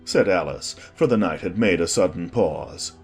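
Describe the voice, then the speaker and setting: A man's voice: low voice, lively intonation, normal speed. A man narrating a dramatized audiobook in scripted storytelling style, with music behind him.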